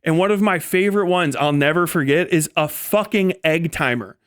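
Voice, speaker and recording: Middle aged guy swearing and rambling thru stories on stream, really clean voice, kinda high. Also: normal speed, clear, resonant tone, dry recording, clean studio sound. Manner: profane, slangy, rambling. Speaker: streamer telling stories from military service. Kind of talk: casual livestream storytelling.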